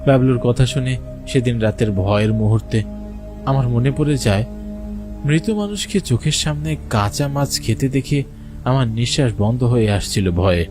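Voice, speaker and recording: A man's voice: mid-range voice, moderate pace. A man narrating a first-person ghost story for a cartoon in a dramatic way. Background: music under the voice.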